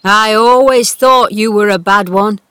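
A woman putting on a gruff, emotional old gardener. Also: mid-range voice, lively intonation, slow pace, fairly bright tone, no echo. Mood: cross, accusing. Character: cross old male gardener, gruff but tender-hearted.

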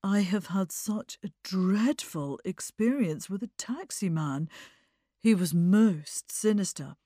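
A woman acts out a sorrowful young man for a storybook. He is precious and anxious, stretching his words in a slow, plaintive way. The recording is dry and close. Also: mid-range voice, animated, warm tone, no echo. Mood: dramatic distress.

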